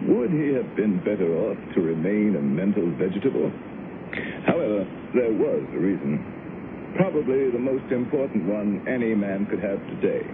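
A very low-pitched scientist played by a male actor in an old radio play. He explains himself with measured, calm authority. The broadcast is muffled, with a clear layer of noise. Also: natural voice. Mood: grave, insistent. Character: authoritative male scientist, calmly justifying secret brain experiments. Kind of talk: 1970s radio drama acting.

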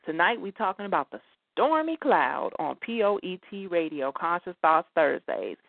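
A woman's voice: lowish voice, big pitch swings, moderate pace, creaky, no room sound. A woman hosting a talk radio show in a conversational way, addressing her listeners directly. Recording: dry, thin phone-like line.

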